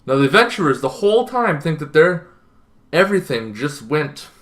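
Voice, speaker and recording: A young adult man giving an informal explainer to camera as a host, talking in an animated, conversational way and thinking aloud with asides. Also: on the high side, moderate pace. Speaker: host of a tabletop roleplaying advice channel. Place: small bedroom, indoors.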